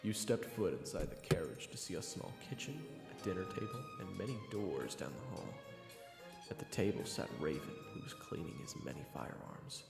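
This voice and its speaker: A man's voice: deep voice, sing-song, moderate pace, gravelly, reverberant, in a large hall, over music. A man reading scripted second-person fantasy narration as the storyteller of an audio roleplay.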